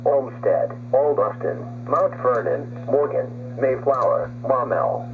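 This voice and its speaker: A synthetic male announcer reads scripted emergency weather warnings in a formal, impersonal way over weather radio. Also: mid-range voice. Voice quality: synthetic text-to-speech voice. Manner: formal, impersonal, list-like reading. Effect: weather radio broadcast. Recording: dull noisy weather radio recording, humming.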